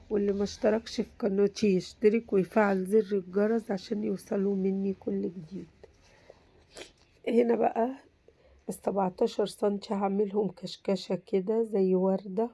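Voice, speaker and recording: A woman with an Egyptian Arabic accent, hoarse from a cold, casually talking through a simple craft tutorial and reassuring viewers that it is very easy. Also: mid-range voice, mellow, no echo. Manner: chatty, reassuring, repeats "very easy". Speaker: crafts channel host.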